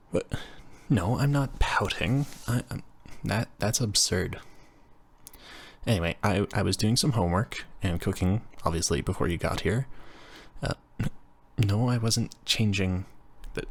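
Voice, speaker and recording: A man's voice: on the low side, expressive, unhurried, creaky, pauses often, breathy edge, full character voice. A man voicing a nerdy, flustered engineering student roommate in a casual roleplay audio, stammering and rambling in a self-deprecating way. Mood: flustered, eager. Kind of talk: intimate roleplay audio, casual scripted chat.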